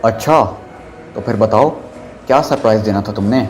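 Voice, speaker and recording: A man's voice: on the low side, moderate pace, close to an everyday voice. A man playing a blunt, bold young student keen on hunting trips, in an audio drama.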